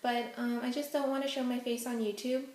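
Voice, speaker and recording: A woman's voice: fairly high pitch, little pitch movement, fairly quick, a little mellow, reverberant. A young woman whose first language is Hokkien, chatting casually through a Q&A vlog at home with lots of ums. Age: young adult. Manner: chatty, many ums, giggly asides. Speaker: vlogger. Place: indoors at home, face out of frame.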